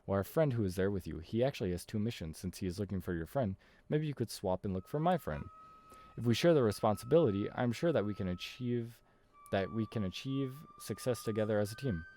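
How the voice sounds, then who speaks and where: A man's voice: on the low side, animated, brisk, mellow, natural voice. A man voicing an eager, kindly young girl who loves animals, in a visual novel read-aloud. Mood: sweet, confiding.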